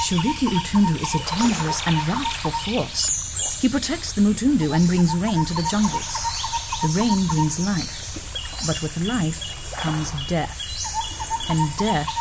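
An actress playing a stern, solemn adventuress guide in a 1930s-style radio drama. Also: female voice, on the low side, expressive, measured pace, heavy background noise. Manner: formal, solemn. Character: stern female jungle guide, grave and warning. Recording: loud music bed under dialogue.

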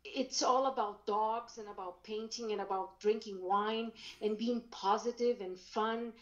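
A woman's voice: a little high, fairly even intonation, unhurried, highs cut off. A woman from Brazil whose first language is German, a painter chatting upbeat as a podcast guest. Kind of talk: interview conversation.

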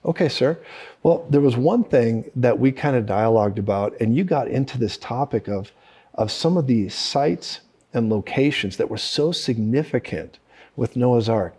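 A man's voice: medium pitch, animated, moderate pace, dark tone, breathy edge. A man in his forties hosting a casual broadcast interview in a studio, effusive and full of thanks. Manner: effusive, repeats praise and thanks. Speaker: host. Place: cozy studio set with armchairs.

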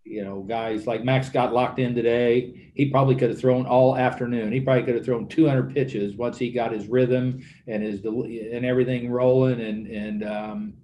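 Older man, halting post-game answers, mellow. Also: mid-range voice, fairly even intonation, slight background noise. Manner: halting, repeats words, trails into fillers. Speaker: baseball manager. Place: press conference room with backdrop. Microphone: desk microphone.

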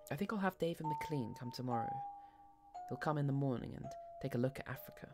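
A woman acts out a wife and mother in an audio drama. She sounds exasperated and anxious, with a very varied pitch, and music plays clearly behind her. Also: lively intonation, quick pace. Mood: uneasy. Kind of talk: dramatic audio short story reading.

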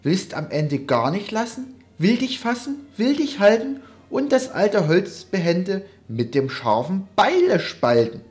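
A woman's voice: medium pitch, very animated, unhurried, hardly any pauses, darkish tone, faint music behind. A woman voicing a young male sorcerer's apprentice, cocky and easily panicked, in a dramatic poetry recitation. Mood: angry, threatening. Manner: theatrical, exclamatory.

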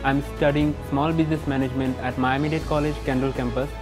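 A young man from Bangladesh narrating a local history segment as a student reporter in a plain, even reading. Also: medium pitch, fast talker, over music. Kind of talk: scripted narration.

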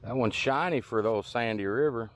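A middle-aged man casually narrating a fishing and bottle-hunting trip outdoors, talking in a relaxed, drawling way. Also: medium pitch, brisk. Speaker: fishing vlogger. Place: outdoors on a river and sandbar. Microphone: body-worn action camera.

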